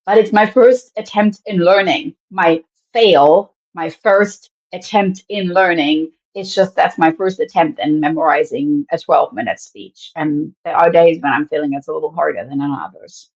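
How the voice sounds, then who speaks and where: A woman from Germany with a German accent, speaking as an animated podcast guest who laughs often and lets her pitch swing widely. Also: moderate pace, lo-fi sound. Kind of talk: casual interview talk.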